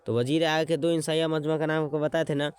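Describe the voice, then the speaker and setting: A man teaching an exam-prep lesson, repeating words slowly as he writes them out and checking in with his students as he goes. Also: fairly high pitch, flat delivery, smooth, faint music behind. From Bihar.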